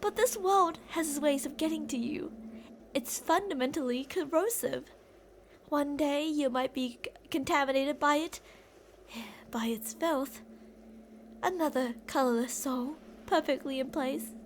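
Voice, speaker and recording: Woman acting a high-voiced obsessive young yandere. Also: a little slow, slight background noise. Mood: wounded, bitter. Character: obsessive, delusional yandere college-age woman addressing her captive. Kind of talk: ASMR roleplay, dramatic monologue.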